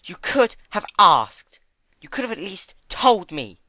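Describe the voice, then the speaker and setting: A man voicing a timid, gentle young female pony with an earnest, hesitant manner, in a story reading. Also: high voice, sing-song, slow pace, no room sound, clean studio sound, pitched above the performer's own voice, exaggerated voice. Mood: shocked, hurt.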